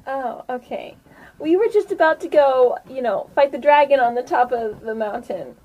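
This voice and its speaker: A woman's voice: high voice, normal speed, a little mellow, rough recording. A woman playing an offhand, self-assured female adventurer leader in a tabletop game. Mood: breezy. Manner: offhand, casual.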